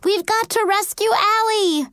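Woman playing an excitable high-voiced young girl. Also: moderate pace, no echo, studio quality. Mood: urgent. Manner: excitable, urgent. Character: excitable young girl, a loyal, giggly schoolgirl friend.

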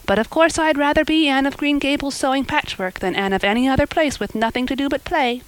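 A woman voicing a breathless, excitable and dreamy young girl in a storybook, chattering and gushing dramatically. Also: high-pitched, fairly quick, voice pushed up, lightly acted, low-quality recording. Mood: wistful. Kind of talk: audiobook character acting.

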